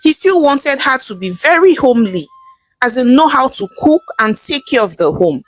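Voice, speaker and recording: A woman's voice: high-pitched, big pitch swings, normal speed, no room sound. A woman with a Nigerian accent narrating a folktale as a storyteller. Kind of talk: animated scripted folktale narration.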